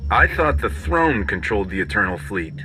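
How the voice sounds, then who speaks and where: An actor playing a confident, pragmatic rebel commander in a video game, decisive with a wry streak. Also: male voice, fairly low pitch, sing-song, normal speed, sharp tone, soft background music, rough recording. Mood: bold.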